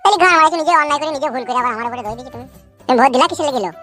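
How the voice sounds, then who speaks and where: A woman's voice: high-pitched, racing, fairly bright tone, faint music behind. A woman voicing a cheeky, aggrieved young village lad in a comic cartoon, chattering bluntly. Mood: cheeky, scolding. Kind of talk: comic cartoon dialogue in rural dialect.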